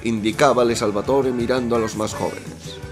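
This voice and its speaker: A man's voice: medium pitch, fairly even intonation, moderate pace, close to an everyday voice. A man narrating a radio soap in a florid, mock-solemn storytelling manner. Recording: music behind the voice.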